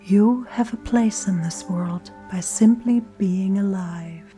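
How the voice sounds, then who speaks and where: Female meditation guide: gentle, near-whispered scripted delivery, unhurried pacing with deliberate pauses, soft music underneath. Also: medium pitch. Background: soft meditation music and singing bowl tones.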